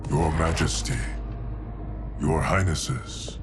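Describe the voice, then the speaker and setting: A man's voice: rumbling low, slow pace, music in the background. An actor playing an ancient, stoic male guardian of time in a video game cutscene, grave and formal. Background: orchestral game score.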